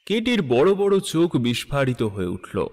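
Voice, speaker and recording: Animated male storyteller in a reverberant hall. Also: mid-range voice, normal speed. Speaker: story narrator. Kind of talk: dramatic literary scripted narration.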